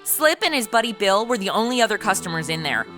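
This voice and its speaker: A woman's voice: medium pitch, very animated, fast talker, a bit bright, faint music behind. A woman with an American accent playing a brash, boozy modern party girl, bantering and narrating in a comedy audio drama. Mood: wry storytelling. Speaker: lead of the show.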